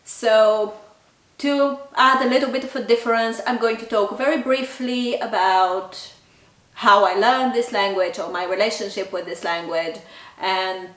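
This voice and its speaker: Female vlog host, thirties, mid-pitched, casual delivery, roomy distant-mic sound. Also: fairly bright tone. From Bulgaria. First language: Bulgarian. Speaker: language channel host. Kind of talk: casual talk to camera.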